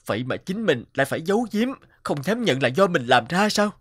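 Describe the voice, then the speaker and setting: A man's voice: on the high side, very animated, a little fast, a little hiss or hum. A man playing a proud, frustrated young sculptor husband in a folktale cartoon, speaking earnestly and dramatically. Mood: indignant, bitter.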